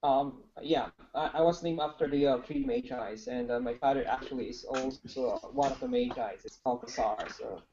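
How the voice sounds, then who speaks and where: Filipino man, student, dark gravelly voice, polite, hesitant, lo-fi call. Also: mid-range voice, normal speed, hardly any pauses. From Philippines. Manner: polite, hesitant with many uhs. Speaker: language student. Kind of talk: casual chat in class. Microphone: video call. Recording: dull lo-fi video call audio.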